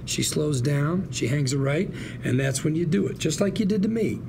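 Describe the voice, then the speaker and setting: Glib, gravelly con-artist lawyer. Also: male voice, fairly high pitch, expressive, normal speed, background noise. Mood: scheming, emphatic. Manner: glib, persuasive patter with colourful slangy quips. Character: fast-talking male con-artist lawyer, slick, cajoling, schemer. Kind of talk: screen drama script read at a table read.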